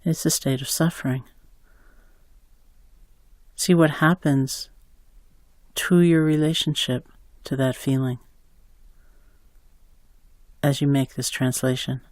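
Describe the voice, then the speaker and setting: A man slowly guiding a compassion meditation, calm, with long pauses between phrases. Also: medium pitch, dry recording. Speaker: meditation guide. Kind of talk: guided meditation.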